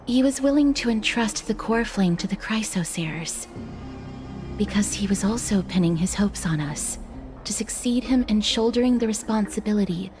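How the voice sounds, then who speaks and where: An actress voices a gentle, serious woman in a fantasy game. Her mid-pitched voice is soft and formal, explaining things solemnly with music underneath. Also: expressive, moderate pace, lightly acted. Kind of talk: dramatic game dialogue. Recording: background music behind the voice.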